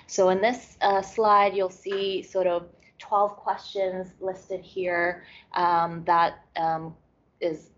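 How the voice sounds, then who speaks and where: A woman from Hong Kong giving an academic lecture over slides, speaking in a measured way with frequent pauses and fillers. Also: lowish voice. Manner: measured, many fillers and restarts. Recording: muffled lo-fi audio.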